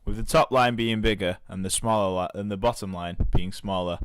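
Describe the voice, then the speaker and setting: A young man reads out his documentary evaluation in a fairly low voice. The sound is dry and close, with no room around it. He keeps a steady reading pace and corrects small slips as he goes. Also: fairly quick, clean tone, no room sound. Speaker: media student.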